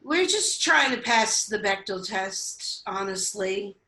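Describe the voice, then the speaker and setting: A woman's voice: medium pitch, animated, takes it slowly, sharp tone, heavily acted. A woman playing a breezy, self-aware, joking woman in a staged reading with roomy sound. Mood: wry.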